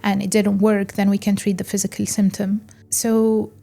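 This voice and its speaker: A woman from Egypt hosting a solo podcast, speaking in a calm, measured way with pauses and fillers. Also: mid-range voice, creaky, soft background music. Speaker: podcast host. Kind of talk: reflective solo podcast talk.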